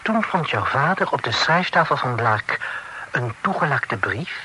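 A florid, bright-voiced gentleman envoy. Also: medium pitch, lively intonation, measured pace. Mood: grave. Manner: formal, flowery speech sprinkled with French. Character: talkative, worldly gentleman envoy reporting grave news. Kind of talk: period radio drama acting. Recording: noisy old radio play recording.

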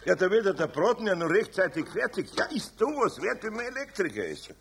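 A man's voice: high voice, very animated, fairly quick, gravelly, a little hiss or hum, exaggerated voice. A man playing a kindly, patient older master carpenter in a radio play, with a Bavarian accent and a homely, hesitant way of talking. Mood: conciliatory. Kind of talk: 1970s children's radio play acting.

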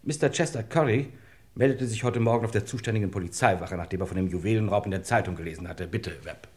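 An actor playing an authoritative police chief inspector in a vintage German crime radio play, formal, clipped and insistent. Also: male voice, fairly low pitch, fast talker, darkish tone, lightly acted. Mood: brisk, commanding.

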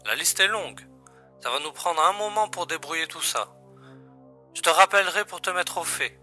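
A man's voice: on the high side, normal speed, sharp tone, soft background music. An actor playing an agent's wry, familiar and matter-of-fact male colleague in a science-fiction audio drama.

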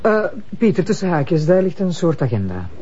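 A man playing a brisk, businesslike investigator in a crime drama, with a Flemish accent and a firm, questioning manner. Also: on the high side, sing-song, warm tone, dull audio, slight background noise. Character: brisk, businesslike investigator in charge, demanding straight answers. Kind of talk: naturalistic TV crime drama acting.

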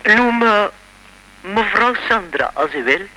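An actress playing a composed, mysterious old French lady in a radio play, speaking formally and with measured care. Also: mid-range voice, animated, noisy background. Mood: composed, revealing. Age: older.

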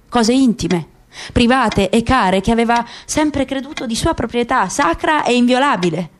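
A woman gives a dramatic literary reading as narrator in a radio play. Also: on the high side. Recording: clear background noise with clicks.

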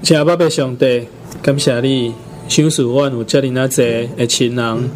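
A man's voice: medium pitch, fairly even intonation, unhurried, a little hiss or hum. A man with a Taiwanese accent, a pastor saying a solemn, pleading prayer. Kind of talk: spoken prayer. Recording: loud music under the voice. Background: loud music.

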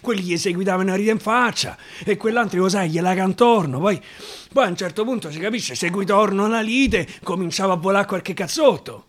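A man with a Roman accent, an actor reciting a dialect verse story in an expressive, dramatic radio-theatre style. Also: high-pitched, brisk. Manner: expressive, dramatic, swinging from declaiming to hushed asides. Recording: guitar music under the voice. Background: acoustic guitar.

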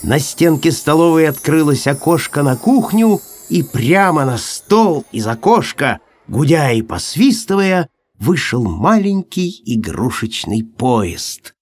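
A man narrating a children's story as an expressive audiobook reader, with music behind him. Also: a little high, slow pace.

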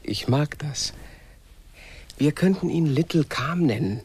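An actor playing a dreamy, grumbling leader of planet settlers in a German radio play, theatrical and exclamatory. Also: male voice, a little high, expressive, slow pace, a little mellow, husky, exaggerated voice. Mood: calm, reassuring.

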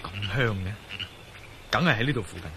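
An actor playing a resolute, self-assured ex-soldier adventurer who thinks aloud in a radio drama. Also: male voice, lowish voice, moderate pace, natural voice. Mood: searching, watchful. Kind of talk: radio drama dialogue and spoken-thought monologue. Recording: radio drama with noisy ambience.

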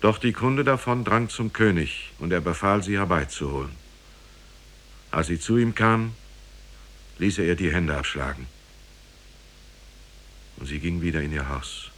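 An actor playing a grave storyteller, reciting an old parable in a solemn, measured, formal way for a 1950s German radio play. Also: male voice, sits low, expressive, a bit bright, exaggerated voice.

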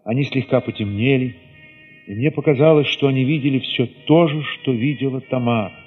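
A man narrating an audio drama in the first person, expressive and solemn, with weighty pauses. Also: mid-range voice, big-room sound, narrow, tinny audio, soft background music.

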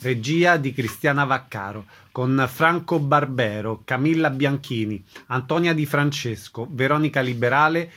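A man's voice: medium pitch, a little slow, few pauses, fairly bright tone. A man reading scripted announcements as a radio presenter. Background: music.

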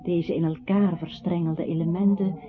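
A woman playing a calm, ominous disembodied voice that haunts a man, narrating gravely and with measure in a radio play. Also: on the low side, normal speed, lightly acted. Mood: grave narration. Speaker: radio drama narrator-character. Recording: thin phone-like old radio recording. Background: music.

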